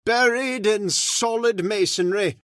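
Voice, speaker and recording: A man playing a solemn caretaker who slowly tells a dark family legend in an old-time radio drama. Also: high voice, dry recording, clean studio sound. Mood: alarmed. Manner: slow, ominous, measured delivery. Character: grave, solemn male storyteller of the house. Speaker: Mister Roberts, old manor caretaker telling the legend.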